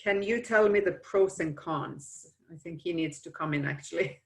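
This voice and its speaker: Low-voiced woman reading questions aloud. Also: normal speed. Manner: steady, reads questions, explains answers. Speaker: webinar moderator. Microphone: video call.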